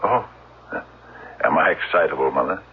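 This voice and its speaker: An actor playing a brooding, unstable grown son in a 1970s radio drama, halting, theatrical and needling. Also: male voice, extremely low pitch, animated, a little hiss or hum. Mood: uneasy, needling. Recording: dull lo-fi old radio recording.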